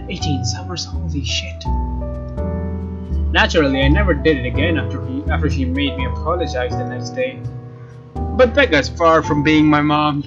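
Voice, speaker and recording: Male let's play host, slightly high voice, casual read-aloud narration, slightly muffled mic, game music underneath. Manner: casual, stumbles and rereads phrases. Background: game music with guitar.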